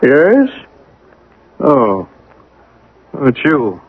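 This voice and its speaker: A man playing a wary, grudging stranger in a 1970s radio drama, creaky-voiced, guarded and fussy. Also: medium pitch, huge pitch swings, extremely slow, a little hiss or hum, exaggerated voice. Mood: suspicious. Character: wary, grudging male stranger in a rented room. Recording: muffled narrow-band old radio broadcast.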